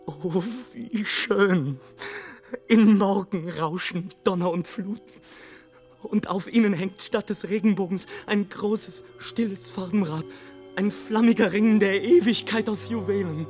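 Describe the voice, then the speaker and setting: An actor playing a lone, passionate romantic balloonist in a literary radio drama, declaiming poetically between hushed and impassioned. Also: male voice, fairly high pitch, unhurried, breathy edge, faint music behind. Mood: ecstatic. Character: lone male balloonist, rapturous, wrathful, death-defying romantic. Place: studio radio production.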